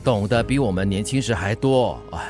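A man's voice: on the low side, animated, a little fast, over music, close to an everyday voice. A man with a Singaporean accent playing a chatty, good-natured older delivery driver in a radio drama, full of sighs and exclamations. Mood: reflective. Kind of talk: Mandarin radio drama acting.

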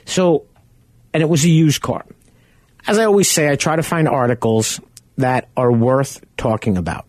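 A man hosting a car-buying radio show from home, talking in a loud, chatty, conversational way. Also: mid-range voice, no room sound. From Arizona. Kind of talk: casual radio talk.

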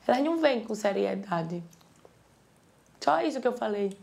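A woman, telling a story about a conversation she had. Her voice is high with a gravelly edge. She talks earnestly, explaining herself. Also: expressive, moderate pace, darkish tone. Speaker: reality show contestant. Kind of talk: casual storytelling.

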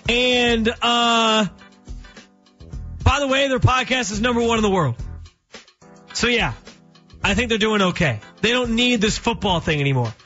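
High, bright-voiced young radio host. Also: male voice, highs cut off, faint music behind. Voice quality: voice cracks, high youthful-sounding. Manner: casual, joking, quick opinions. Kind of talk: sports talk radio.

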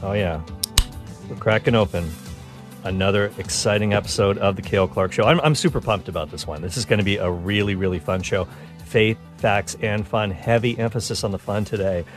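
A man's voice: on the low side, fairly quick, faint music behind. A man hosting a radio talk show, enthusiastic and chatty, a little stuffed up, restarting words as he goes. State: stuffed up nose. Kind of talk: upbeat radio show monologue.

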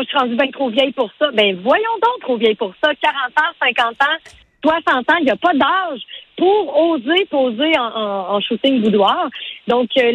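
A woman in her forties with a Quebec French accent, talking as a radio interview guest in a halting, conversational way. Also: high voice, a bit bright, slightly rough, dull audio. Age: 40s. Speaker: interview guest, event organizer and matchmaker.

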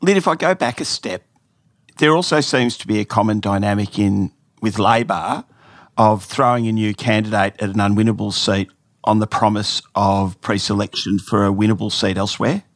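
A man's voice: fairly low pitch, moderate pace, no room sound, studio quality. A male interviewer asking conversational, leading questions of his guest.

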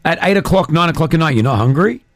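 A man's voice: on the high side, lively intonation, fast, no echo. A man hosting a radio show, chatting casually with a co-host and taking listener calls, laughing often.

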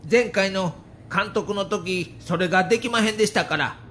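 A man's voice: high-pitched, moderate pace, fairly bright tone, slight background noise. A man playing a stubborn, middle-aged baseball manager with a Kansai accent, speaking firmly in an audio documentary drama. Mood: earnest, firm.

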